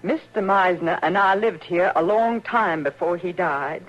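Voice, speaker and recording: A woman's voice: lowish voice, unhurried. A woman playing a bitter, grieving frontier mother in an old radio western, plain-spoken, with a rural Western American accent. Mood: anguished pleading. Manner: plain-spoken, folksy, unyielding. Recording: muffled, hissy old radio recording.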